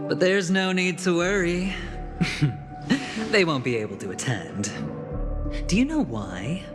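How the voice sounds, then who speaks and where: An actor voicing a sly, smug gambler in a video game, telling a tale with teasing, dramatic pauses. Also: male voice, medium pitch. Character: sly theatrical male gambler, smug schemer spinning a tale. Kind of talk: video game voice acting, theatrical storytelling. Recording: long reverb, loud game music behind.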